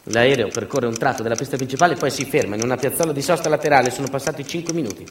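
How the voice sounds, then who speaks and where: Male stage narrator, middle-pitched, emphatic and clipped, recorded with faint background noise. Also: rapid-fire. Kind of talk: theatrical documentary monologue, acting out quoted dialogue. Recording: roomy, distant mic, faint noise.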